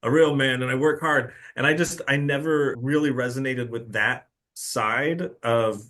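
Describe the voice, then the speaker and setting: A man in his thirties, a guest on an interview show, talking in a relaxed, reflective way with frequent laughs and filler words. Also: medium pitch, a little slow. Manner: reflective, laughs often, uses "like" a lot. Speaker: podcast guest, artist.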